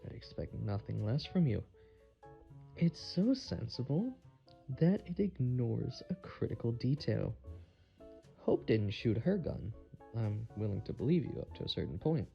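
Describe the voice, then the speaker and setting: A man's voice: mid-range voice, very animated, a little slow, vocal fry, dull audio, faint music behind. A man playing a clever, confident defense attorney in an audio drama, pointed and theatrical in delivery. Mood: pressing. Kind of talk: amateur audio drama acting.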